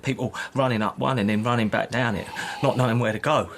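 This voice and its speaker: A man playing a war-shaken soldier in a period radio drama. He is plain-spoken and terse, bossy and practical, at a middling pitch, with clear background noise around him. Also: steady tone, fast, lightly acted. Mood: shaken, bleak.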